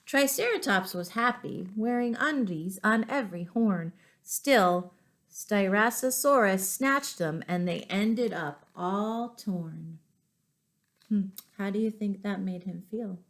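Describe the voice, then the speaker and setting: A woman reading stories aloud to young children, expressive, pausing to ask them questions. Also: medium pitch. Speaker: children's storytime reader and teacher.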